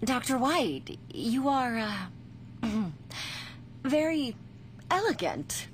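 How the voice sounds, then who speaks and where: Casting: earnest, hesitant game woman, high and very expressive, slight background noise. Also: very slow, full character voice. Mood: flustered. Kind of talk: video game voice acting.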